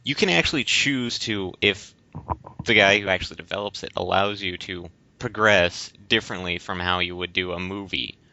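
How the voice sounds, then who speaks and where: Male podcast co-host, lowish forceful voice, rambles and halts through casual explanations on a close microphone. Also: moderate pace, no room sound. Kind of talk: casual discussion. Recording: dull, lo-fi voice recording.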